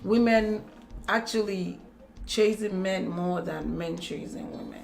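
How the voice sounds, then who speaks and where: A woman from Nigeria with a Nigerian accent, co-hosting a casual relationship chat in an expressive, exclamatory way. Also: lowish voice, slow, vocal fry. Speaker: talk show co-host.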